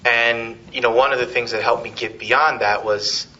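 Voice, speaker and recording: A man giving an informal, halting public talk as a political organizer, on a dull, noisy live recording. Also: medium pitch, fairly even intonation, sharp tone.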